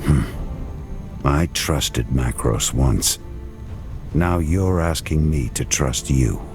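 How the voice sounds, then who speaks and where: An actor playing a wary, brooding warrior hero in a video game cutscene, with a terse, guarded, grave delivery. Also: male voice, extremely low pitch, lively intonation, slow pace, over music, heavily acted.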